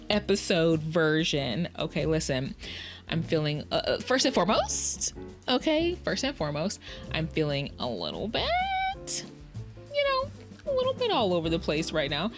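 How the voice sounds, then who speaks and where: A woman hosting her own podcast in casual solo chat, tired after a day's work, chatty and self-deprecating, laughing often as she talks close to a podcast mic. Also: lowish voice. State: tired in the evening. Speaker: podcast host.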